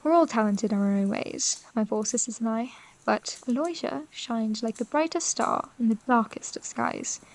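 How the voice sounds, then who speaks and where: An actress playing a wistful, overlooked young sister in a period audio drama, with polite, formal and expressive speech. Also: female voice, a little high, moderate pace, close to an everyday voice, low-quality recording. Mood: wistful, proud. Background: music.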